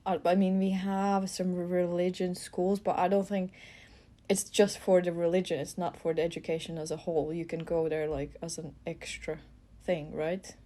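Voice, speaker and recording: A young woman from Latvia co-hosting a podcast, chatty and quick to laugh. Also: fairly low pitch. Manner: chatty, laughs often, lively asides. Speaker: co-host. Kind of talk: casual chat.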